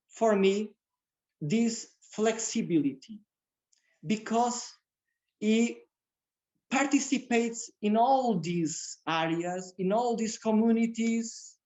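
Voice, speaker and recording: Male guest lecturer, very measured pace, halting second-language English. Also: high-pitched, a little mellow. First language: Portuguese. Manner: halting, non-native English with repeated phrases. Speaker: guest speaker. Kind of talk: religious community lecture.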